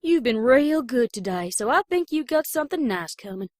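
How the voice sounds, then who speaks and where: A woman's voice: on the high side, sing-song, moderate pace, a little mellow, no room sound, studio quality. A woman playing a caring big-sister cartoon pony with folksy country turns of phrase in an audio drama fan reading. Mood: warm, reassuring.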